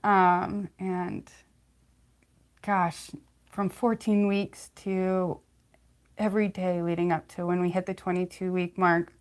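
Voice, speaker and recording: Soft-spoken woman chatting with many fillers. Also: fairly low pitch, level tone. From California, United States. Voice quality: slight lisp. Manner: halting, many fillers and restarts. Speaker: livestream host. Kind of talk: casual livestream chat.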